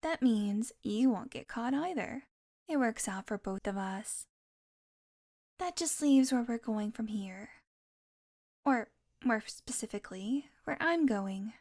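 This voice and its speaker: A sardonic vampire woman, acted by a female performer speaks in a fairly high voice. She is haughty and teasing, with exasperated sarcasm aimed straight at the listener, in the manner of an audio roleplay. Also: expressive, slow, a little mellow, heavily acted. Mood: incredulous, sardonic, then matter-of-fact, wry.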